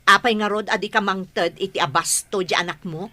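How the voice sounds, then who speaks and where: A woman playing a sociable working mother and aunt figure in a radio drama, with an expressive, coaxing way of talking. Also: mid-range voice, moderate pace, no echo, lightly acted. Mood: irritated. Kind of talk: Ilocano radio drama acting.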